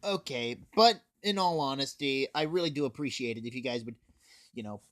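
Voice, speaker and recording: A man's voice: mid-range voice, fairly quick. A young man giving a casual, scripted anime review, talking informally and swearing now and then. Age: teens or young adult. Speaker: video reviewer.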